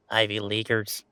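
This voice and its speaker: A man playing a laid-back young werewolf gamer in an intimate audio roleplay, chatting in a wry, halting, casual way. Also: lowish voice, sharp tone, clean tone, no room sound, heavily acted. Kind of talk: intimate roleplay audio, naturalistic one-sided conversation.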